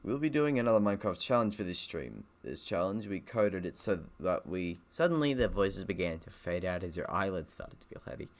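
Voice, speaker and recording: A man's voice: sits low, big pitch swings, fairly quick, few pauses. A man giving casual live gaming commentary and reading a visual novel aloud, with a sweary, joking, giggly manner.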